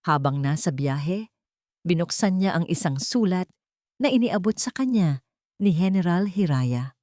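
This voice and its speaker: A woman's voice: on the low side, animated, measured pace, dark tone, no echo, studio quality. A woman reading a dramatic scripted story as narrator.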